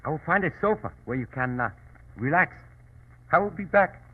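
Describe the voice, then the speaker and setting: High-voiced, halting opium-den doorkeeper. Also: male voice, expressive, husky. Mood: wary. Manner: halting, terse. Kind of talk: 1940s radio drama acting. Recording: tinny, noisy old radio recording.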